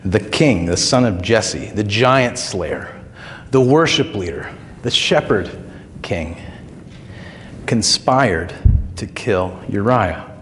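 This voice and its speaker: A man preaching a sermon as pastor, his throat still a bit hoarse, telling stories in a relaxed, conversational way with frequent fillers. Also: lowish voice, takes it slowly, pauses often, in a large hall, a little hiss or hum. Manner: conversational, folksy storytelling with filler words. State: recovering throat, slightly hoarse.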